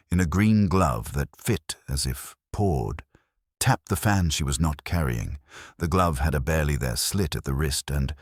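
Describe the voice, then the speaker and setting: A man's voice: very deep voice, slightly rough, no echo, studio quality. A man reading first-person detective fiction as a scripted audiobook narration.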